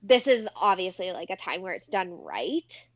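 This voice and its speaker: A woman's voice: lowish voice, sing-song, moderate pace, lo-fi sound. A young woman co-hosting a podcast in a laid-back, jokey way with lots of filler words. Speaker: podcast co-host. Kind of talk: casual chat.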